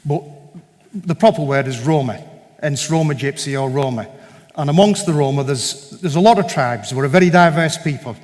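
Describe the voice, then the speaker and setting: A man giving a conversational, storytelling talk to a church congregation, with many digressions and quoted exchanges. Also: medium pitch. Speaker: guest speaker at a church meeting. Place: large church hall. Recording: long reverb of a large hall.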